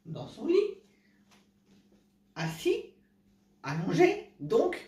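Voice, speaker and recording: A man's voice: sits high, wildly swooping pitch, warm tone. A man with a cold, chatting casually to camera at home at night, hesitant, often restarting and correcting himself. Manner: halting, many restarts and self-corrections. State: congested with a cold. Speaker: vlogger giving home remedy tips. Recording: roomy, from a distance.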